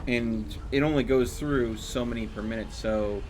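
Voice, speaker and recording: A man's voice: medium pitch, normal speed, darkish tone. Casual man streaming a game, light background noise. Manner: thinking aloud, explaining numbers. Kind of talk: casual chat while gaming.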